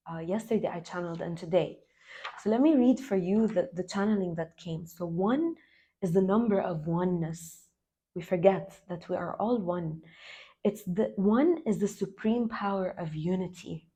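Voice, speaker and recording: A woman in her thirties from Lebanon, raised in the UAE, talking to camera as a self-love coach in an earnest, slow and emphatic way. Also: fairly low pitch, dark tone. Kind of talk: spiritual vlog talk to camera.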